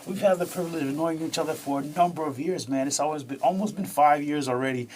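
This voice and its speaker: A man's voice: a little high, quick pace, darkish tone, a little hiss or hum. An enthusiastic man hosting an interview podcast, often repeating words as he starts his questions. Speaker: podcast host.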